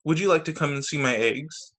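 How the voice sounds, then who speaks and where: A man's voice: a little high, a little fast, fairly bright tone. A man voicing a proud but easily saddened mother hen in a children's storybook read aloud. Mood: friendly, hopeful. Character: proud mother hen, growing glum and wistful.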